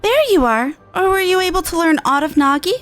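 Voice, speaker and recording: A woman's voice: very high-pitched, animated, a little fast, fairly bright tone, faint music behind, voice pushed up. A woman voicing an earnest, proper young woman in a fantasy game, formal and polite.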